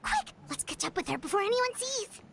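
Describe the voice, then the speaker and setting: A woman voicing a tiny, excitable fairy companion who talks about herself in the third person. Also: very high voice, brisk, noisy background, exaggerated voice. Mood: urgent. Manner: refers to herself in third person, chirpy and fretful. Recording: game audio with clear music behind. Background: game music.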